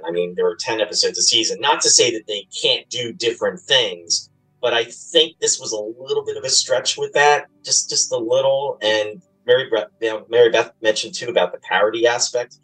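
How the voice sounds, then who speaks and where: A man in a casual radio roundtable, rambling diplomatically and softening his criticism. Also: fairly low pitch, husky. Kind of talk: casual discussion. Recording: distant mic, music behind.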